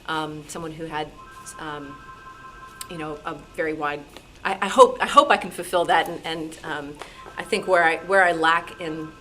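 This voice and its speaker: A woman with a low voice speaks informally at a meeting. She is modest and hesitant, feeling her way through sentences. Faint music is audible. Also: fairly even intonation, moderate pace, sharp tone, rough recording. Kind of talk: informal panel talk at a club meeting.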